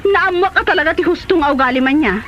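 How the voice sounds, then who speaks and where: Woman acting a very high-voiced, feisty fed-up wife. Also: fast, a bit bright. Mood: angry, accusing. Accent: Filipino. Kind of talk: Ilocano comedy radio drama acting. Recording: dull, noisy audio.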